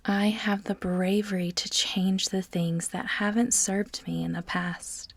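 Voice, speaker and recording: A woman narrating a guided meditation in a soft, breathy, near-whispered voice, soothing and measured, with deliberate pauses between phrases. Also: mid-range voice, fairly even intonation, no echo.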